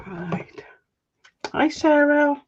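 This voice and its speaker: A woman's voice: high voice, expressive, drawn-out words, muffled recording, a little hiss or hum. A Welsh woman hosting a crafting livestream, chatting casually and chuckling as she greets viewers. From Wales. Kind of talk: casual chat while crafting.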